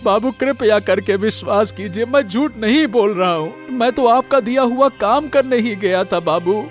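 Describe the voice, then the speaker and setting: A man's voice: high voice, normal speed, soft background music, lightly acted. A man voicing a poor, honest village labourer and devoted father in a cartoon, earnest and repetitive in his speech. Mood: desperate begging. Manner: stammering, pleading, repeats words. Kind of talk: Hindi moral-story cartoon voice acting.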